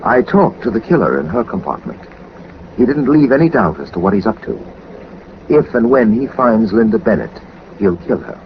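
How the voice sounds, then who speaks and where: A muffled, noisy old radio recording carries a composed gentleman sleuth. His voice is low, with formal, measured phrasing and courteous manners in the style of 1940s radio drama. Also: sing-song. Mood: urgent. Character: composed, methodical gentleman sleuth, a former captain. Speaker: lead actor of a detective radio serial.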